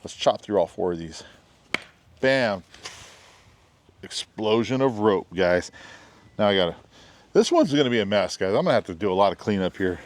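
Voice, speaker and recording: Man, middle-aged, head cold, low voice, casual and jokey, backyard, camera a few feet off. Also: expressive, normal speed, long pauses. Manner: chatty, jokey, says "guys" and "bam" a lot. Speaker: knife reviewer. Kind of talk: casual product demo. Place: backyard, outdoors.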